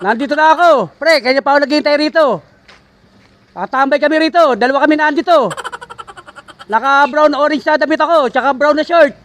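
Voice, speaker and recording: A man's voice: very high voice, fairly quick, a bit bright. A man talking casually as if on a phone, playing a prank with a taunting, cocky manner. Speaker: prankster faking a phone call. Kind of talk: loud casual phone talk. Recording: very noisy outdoor street recording.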